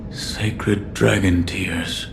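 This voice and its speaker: Very deep, stoic shinobi warrior. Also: male voice, steady tone, creaky, noisy background, heavily acted. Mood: grave, questioning. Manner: terse, grave, measured. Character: stoic, terse male shinobi warrior called Wolf. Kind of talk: dramatic video game dialogue.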